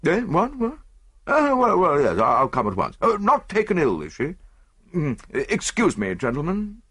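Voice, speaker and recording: Self-satisfied rich stockbroker, played by a male narrator: blustering, rambling, clipped phrases, husky edge, storybook mystery. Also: on the high side, huge pitch swings, a little slow, a bit bright, no room sound, studio quality, pitched above the performer's own voice, full character voice. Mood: flustered.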